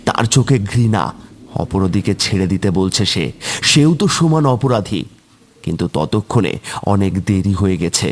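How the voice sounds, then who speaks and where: A man's voice: lowish voice, animated, normal speed. A man narrating a scripted horror story in a dramatic, suspenseful way.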